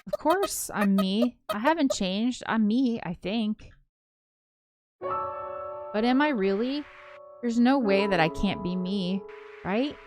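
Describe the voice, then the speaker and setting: A woman's voice: mid-range voice, animated, slow, long pauses, over music. A woman reading aloud as an anxious, introspective young ghost woman, hesitant and reflective, in visual novel narration. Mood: flustered, unsure.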